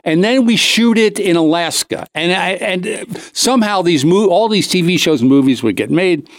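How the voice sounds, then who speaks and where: A man's voice: high-pitched, lively intonation, moderate pace, dry recording, clean studio sound. A man hosting a talk show, telling a comic anecdote in a casual, rambling way, cutting himself off and acting out the dialogue. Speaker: show host.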